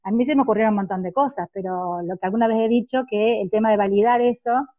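A woman's voice: mid-range voice, fast, dark tone, no room sound, highs cut off. A woman, speaking reflectively in a group discussion.

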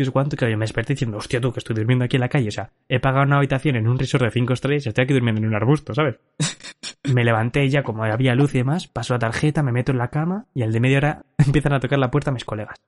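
Guy rattling off a funny story, talks quick, laughs a lot. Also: mid-range voice. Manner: laughs often, lively anecdote telling with swearing. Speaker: podcast host. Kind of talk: casual chat, storytelling.

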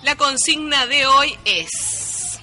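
A woman's voice: high-pitched, very slow, bright, forceful tone, faint music behind, poor audio. A woman with a Rioplatense accent, co-hosting a radio show in a teasing, playful way. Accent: Rioplatense Spanish. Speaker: radio co-host. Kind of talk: casual radio chat. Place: radio studio.

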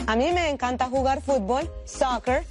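A woman's voice: sits high, moderate pace, a little mellow. A woman from Honduras, presenting a scripted instructional charity video. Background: background music.

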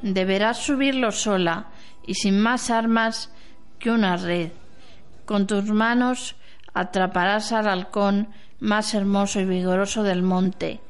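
Woman playing a slow, wise old grandmother. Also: mid-range voice, steady tone, smooth, lightly acted. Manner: slow, measured, instructing. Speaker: amateur radio drama actor. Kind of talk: amateur radio play acting.

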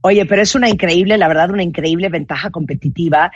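A woman's voice: on the low side, quick pace, breathy edge, dry recording, highs cut off. Enthusiastic Mexican woman interviewer. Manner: enthusiastic, praising. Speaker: interviewer, host.

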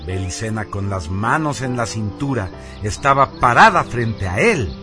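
A man narrating a scripted radio serial in a solemn, theatrical and deliberate way. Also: lowish voice, sing-song, pushed and bright. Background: music.

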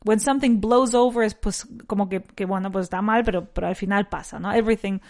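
A woman with a nasal voice teaching an English lesson. She repeats each sentence slowly and switches between English and Spanish. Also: medium pitch, a little mellow. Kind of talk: bilingual language lesson.

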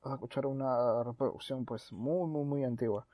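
Mellow male podcaster, close dry sound. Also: medium pitch, moderate pace, no echo. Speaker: podcast co-host. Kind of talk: casual podcast discussion.

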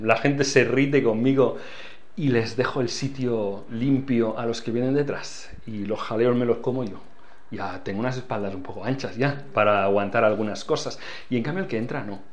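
A man's voice: mid-range voice, moderate pace. An older man with a foreign accent, a church leader giving earnest interview answers and repeating key phrases for emphasis. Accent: foreign accent in Spanish.

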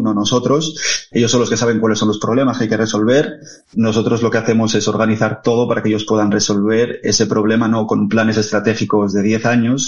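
A man's voice: lowish voice, a little mellow, muffled recording. A man speaking as a tech company guest in a podcast interview, explaining at length with frequent "eh" fillers and "¿vale?" checks.

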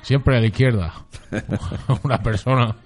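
A man's voice: medium pitch, measured pace. A man hosts a local radio show and chats casually with his guest. He keeps a dry, teasing tone. His voice sits in the middle range, and faint music plays underneath. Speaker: radio host.

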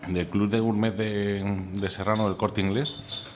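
A man's voice: lowish voice, little pitch movement, a little slow, noisy background. A man with an accent from Spain, chatting candidly and colloquially as a guest in a casual interview. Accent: Spanish from Spain. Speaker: interview guest, food recommendation influencer. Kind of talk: casual interview chat, anecdotes.

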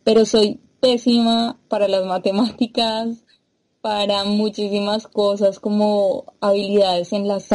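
A woman from Latin America speaks as an interview guest, her voice warm and mellow in tone. She explains things patiently, stopping now and then on small fillers as she thinks. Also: mid-range voice, poor audio. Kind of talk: interview conversation.

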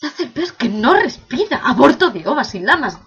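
A woman's voice: sits high, sing-song, moderate pace, fairly bright tone, breathy edge, lo-fi sound, full character voice. A woman playing an anguished imprisoned prince in a declamatory, impassioned classical verse monologue, bitterly questioning heaven. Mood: wounded, yearning.

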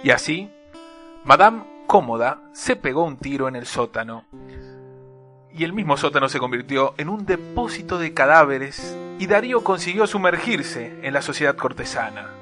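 A man's voice: a little high, animated, moderate pace, sharp tone, soft background music. A man playing a grandly suspenseful, declamatory narrator of a comic radio serial. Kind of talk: scripted radio play narration.

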